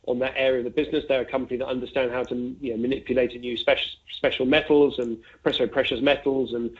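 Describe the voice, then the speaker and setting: A man heard through a narrow, phone-like connection, speaking as a fund manager giving market commentary. His pitch is middling and stays fairly flat. He talks discursively and conversationally, working through his points aloud with asides and examples. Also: fast. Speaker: investment fund manager being interviewed. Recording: narrow, tinny phone-like line.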